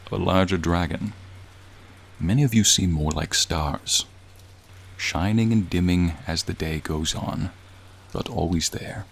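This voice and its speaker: A man playing a gentle, wistful, courtly dragon in a soothing ASMR roleplay, speaking formally and tenderly. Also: exaggerated voice. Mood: earnest, musing. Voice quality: low, creaky, soothing. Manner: slow, formal, tender, reflective storytelling. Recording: faint rain noise behind. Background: rain ambience.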